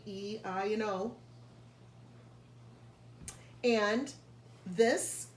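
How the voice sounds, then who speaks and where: A woman reading a picture book aloud to young children like a teacher, pausing to explain and carefully sounding out key words. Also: medium pitch. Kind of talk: read-aloud storytelling with explanatory asides. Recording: echoey room, faint background noise.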